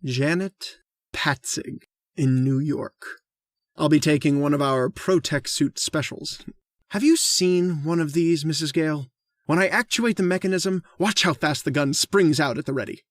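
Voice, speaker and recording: An actor playing a mild, hesitant gentleman who hunts people for sport, in a radio drama. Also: on the high side, a little slow, no room sound, clean studio sound, natural voice. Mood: mild relish. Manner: polite, hesitant.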